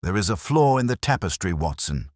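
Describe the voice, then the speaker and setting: Man acting brooding gentleman detective, very low voice, swooping emphasis, deliberate, analytical, audiobook character. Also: sing-song, dry recording, studio quality. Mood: analytical, intense.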